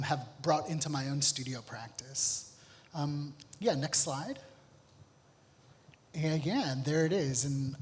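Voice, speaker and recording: A man giving an informal artist talk with slides, speaking in a halting, reflective way. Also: a little high, a bit bright. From Honduras and United States. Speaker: artist on a panel. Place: theatre, live panel event. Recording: echoey hall with long reverb.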